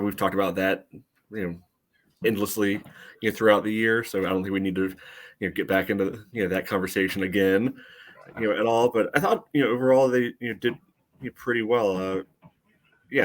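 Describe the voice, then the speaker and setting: A man's voice: lowish voice, steady tone, fairly quick. A man co-hosting a soccer fan podcast, giving casual analysis in a hedging way padded with "you know". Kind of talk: casual sports analysis chat.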